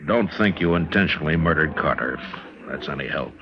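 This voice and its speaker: An actor playing a calm, firm American frontier marshal in a radio western, speaking in a measured, plain, authoritative way. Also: male voice, very deep voice. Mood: firm, grave. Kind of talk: old-time radio western acting. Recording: dull old radio recording, faint noise.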